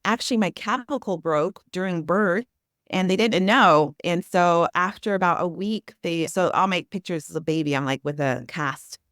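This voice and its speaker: A woman in her thirties who grew up speaking Portuguese, telling stories in a rambling, animated way as a podcast guest. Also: fairly low pitch, moderate pace, dry recording, clean studio sound. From Brazil, Amazon region. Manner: rambling, animated, many restarts and "like". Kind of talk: casual interview storytelling.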